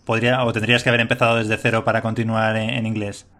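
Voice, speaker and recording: A man's voice: fairly low pitch, flat delivery, quick pace, a bit bright. A man from Madrid with a Spanish accent, interviewing casually, asking curious questions and giving short affirmations. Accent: Spain Spanish. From Madrid, Spain.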